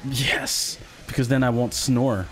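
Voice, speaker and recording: A man co-hosting a casual talk show, joking and laughing often in quick banter, with a slightly nasal voice. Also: mid-range voice, fairly even intonation, faint music behind. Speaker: podcast co-host. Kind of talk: casual chat.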